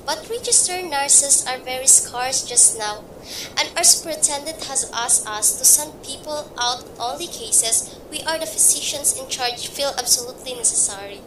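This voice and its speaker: A woman's voice: moderate pace, natural voice. A woman in a student radio play, voicing a polite, guarded hospital receptionist who speaks formally and patiently. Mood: measured. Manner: formal, patient, repeats "madam". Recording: distant echoey mic, rumbling noise.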